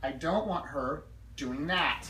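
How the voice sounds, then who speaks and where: A woman with a low voice leads hands-on staff training in a directive manner, repeating key phrases and checking that listeners follow. The recording is echoey and a little distant, with faint background noise. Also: takes it slowly, a bit bright. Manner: directive, drills phrases and checks understanding often. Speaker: dental practice consultant and trainer. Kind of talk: hands-on staff training with role-play demonstration.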